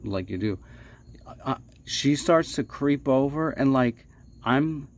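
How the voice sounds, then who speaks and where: A man telling stories casually as a livestream host, halting and repeating himself, playfully dramatic with stretched words and hushed asides. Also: medium pitch, animated. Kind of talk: casual rambling spoken storytelling.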